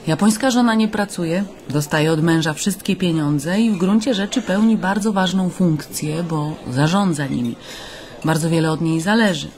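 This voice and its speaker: A woman's voice: lowish voice, moderate pace, darkish tone, lightly acted. An actress playing a friendly, candid woman journalist, attentive and confiding, in a conversational radio drama. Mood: confiding, thoughtful.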